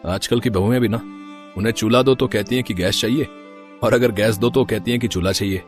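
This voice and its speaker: Guy playing a young husband, lowish voice, easygoing, teasing, bit cautious, cartoon story. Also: a little fast, soft background music, close to an everyday voice.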